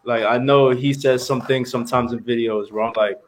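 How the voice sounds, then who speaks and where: A man's voice: mid-range voice, steady tone, a little fast, slight background noise. A man living in America who chats casually and keeps asking the others questions. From United States. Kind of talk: casual group chat.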